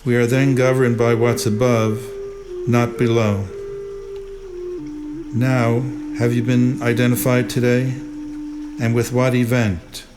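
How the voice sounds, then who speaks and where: A man reading spiritual teachings aloud in a measured, deliberate, instructive way. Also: mid-range voice, level tone. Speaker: reader of spiritual commentary. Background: prominent music.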